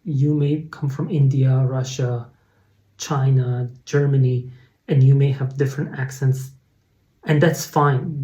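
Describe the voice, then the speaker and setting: A man with a Persian accent, speaking English as a second language, teaching an online test-prep lesson in a clear, instructive way. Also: medium pitch, mellow. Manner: explanatory, instructive, with frequent fillers and restarts.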